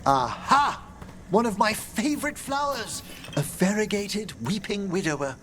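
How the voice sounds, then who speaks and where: An actor playing a learned, courtly necromancer mage in a video game, formal and musing, with precise theatrical diction. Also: male voice, high-pitched, animated, measured pace, fairly bright tone, slight background noise. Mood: delighted.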